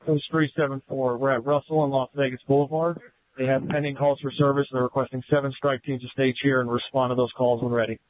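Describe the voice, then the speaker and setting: A man's voice: mid-range voice, little pitch movement, fast, no echo. A man speaking as a police officer, reporting in over a police radio. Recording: narrow, tinny police radio transmission.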